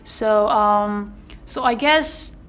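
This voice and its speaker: A woman's voice: medium pitch, very slow, fairly bright tone, slight background noise. A young woman, answering interview questions in a chatty way with many fillers and restarts. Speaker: actress interviewed about her film role.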